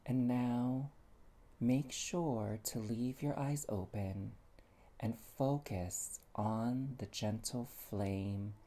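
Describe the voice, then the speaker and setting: A meditation guide speaking gently and soothingly, with long pauses and soft, breathy phrase endings. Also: mid-range voice.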